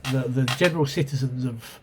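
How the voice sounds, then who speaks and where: A man's voice: mid-range voice, fairly quick. A man with a stuffy, sore-throated voice talks through his memories of an old tabletop game, hesitant and full of ums and self-corrections. His voice sits in a middle range for a man. A faint hiss of background noise hangs under the recording. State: congested with a cold and sore throat. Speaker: channel host reviewing role-playing games. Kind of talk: casual spoken video review. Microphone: camera mic.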